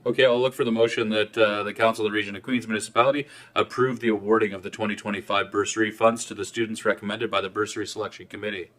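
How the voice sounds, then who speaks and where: A man with a fairly low voice chairs a meeting in a council chamber. He calls motions and introduces speakers in a brisk, friendly, procedural manner. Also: fairly even intonation, a bit bright.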